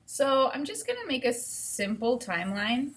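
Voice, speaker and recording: A woman teacher giving an instructional read-aloud, explaining and thinking out loud as she goes. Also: sits high, very animated, moderate pace, slight background noise.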